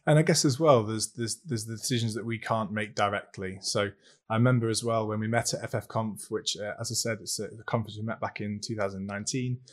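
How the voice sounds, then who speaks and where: Podcast host: man, slightly low pitch, informal and chatty interviewer, conversational delivery. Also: steady tone, fast.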